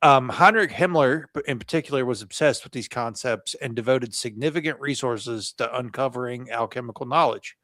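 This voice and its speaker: A man's voice: medium pitch, a little fast, few pauses, fairly bright tone, dry recording. A man co-hosting a podcast, reading from his notes in a casual, jokey way and adding asides. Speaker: podcast co-host.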